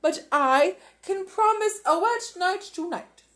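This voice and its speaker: A woman's voice: very high-pitched, animated, slow, a bit bright, pitched above the performer's own voice, exaggerated voice. A woman reading aloud, voicing a chatty male TV weatherman who jokes with viewers. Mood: chirpy.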